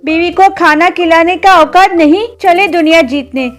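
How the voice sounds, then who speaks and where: Woman doing fed up village wife, super high voice, scolding, cartoon story with music. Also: normal speed. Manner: scolding, exasperated.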